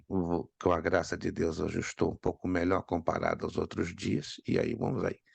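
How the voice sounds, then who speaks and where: Hoarse man teaching a class. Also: deep voice, little pitch movement, normal speed, no echo. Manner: repeats key points for emphasis; calls out to students by name. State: hoarse from a cold. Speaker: Bible teacher. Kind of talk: online theology class.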